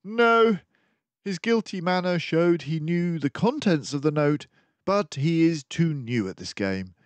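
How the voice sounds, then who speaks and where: A man voicing a brilliant, excitable, commanding mouse detective in a children's storybook, speaking in an emphatic, theatrical way. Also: fairly high pitch, measured pace, dry recording, voice pushed up. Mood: excited, decisive. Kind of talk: children's storybook read-aloud.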